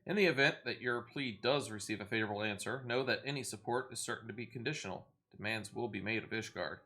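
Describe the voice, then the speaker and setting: A man voicing a courteous leader of a fantasy order in a video game, speaking with measured, archaic formality. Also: fairly low pitch, sharp tone. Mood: cautious, diplomatic.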